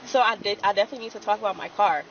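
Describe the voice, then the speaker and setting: Raspy woman arguing at a traffic stop. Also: rapid-fire. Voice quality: low and raspy. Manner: argumentative, presses with questions, laughs in disbelief. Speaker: arrested driver. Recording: distant muffled bodycam audio with faint noise.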